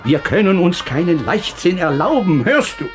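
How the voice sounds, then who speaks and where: A worked up bossy older dad in an old radio play, voice leaping all over, music around. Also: male voice, sits high, big pitch swings, normal speed. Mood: agitated, impatient. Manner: agitated, commanding, nagging. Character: anxious, stern, irritable wealthy father under siege. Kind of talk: 1960s German radio drama acting.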